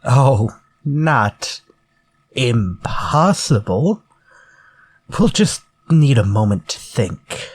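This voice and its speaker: An actor playing a menacing, politely theatrical murderer in a retro-style radio drama. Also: male voice, mid-range voice, very animated, slightly rough, heavily acted. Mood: politely probing. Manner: courtly, measured, theatrical. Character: menacing murderer from a story, politely coaxing then vengeful.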